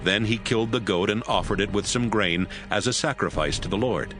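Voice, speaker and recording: Guy reading bible stories, lowish voice, expressive, music playing loud under it. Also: fairly quick. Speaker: narrator. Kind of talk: scripted scripture narration.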